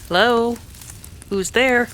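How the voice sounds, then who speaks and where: A woman playing a resolute but vulnerable widowed frontier woman in an old-time western radio drama, with a dramatic, halting delivery. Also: a little high, fairly bright tone, slight background noise. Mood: wary, alarmed.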